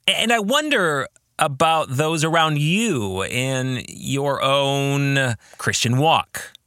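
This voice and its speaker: An American man speaks in a bright, crisp-edged voice, set slightly high and moving with lively intonation. He delivers a reflective book podcast with deliberate phrasing, in a very dry, close-sounding recording. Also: dry recording. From American Midwest. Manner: deliberate phrasing, posing questions to listeners. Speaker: podcast host, author and pastor.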